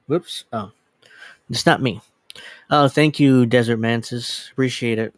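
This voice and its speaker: Casual streamer, man, late 30s, mid-pitched, rambly and self-correcting, dry close desk mic. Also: unhurried, no room sound. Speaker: livestream host. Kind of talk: casual livestream chat. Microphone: desk USB microphone.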